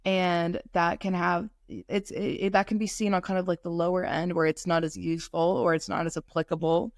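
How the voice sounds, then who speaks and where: Young woman, podcast co-host, casual chat, halting with fillers, lowish voice, dry sound. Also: level tone, no echo. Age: young adult. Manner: halting, many fillers and restarts. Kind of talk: casual conversational discussion.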